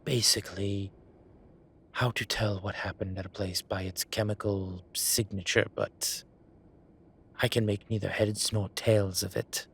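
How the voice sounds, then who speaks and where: A man playing an ancient, bookish incubus demon professor in an audio roleplay, dry and exasperated, with halting, sighing delivery. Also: on the low side, steady tone, fairly bright tone, slightly rough, exaggerated voice. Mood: exasperated, teasing. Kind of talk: audio roleplay acting, intimate one-sided dialogue.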